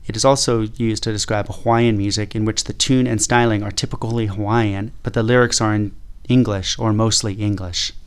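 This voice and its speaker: A male interviewer who calmly explains a term and then thanks his guest. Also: lowish voice, fairly quick, smooth.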